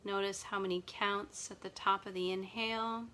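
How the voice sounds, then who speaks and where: A woman's voice: medium pitch, flat delivery. Soothing woman guiding a sleep meditation. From Nova Scotia. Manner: slow, soft and soothing. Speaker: meditation guide. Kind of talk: guided relaxation meditation. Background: music and ocean wave sounds.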